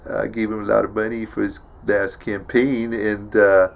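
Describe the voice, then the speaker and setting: A man playing a slow-witted, tough action-movie hero who stammers and mumbles through favours and boasts in a comedy sketch. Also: lowish voice, little pitch movement, gravelly. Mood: casual pleading. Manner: halting, mumbling, stammering braggadocio.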